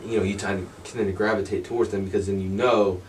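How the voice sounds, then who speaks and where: A fairly low-voiced man hosts a loose, casual conversation, swearing offhandedly and nodding along with short replies. His voice sits a bit back from the mic. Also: steady tone, quick pace, distant mic, a little hiss or hum. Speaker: interview host. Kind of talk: casual interview talk.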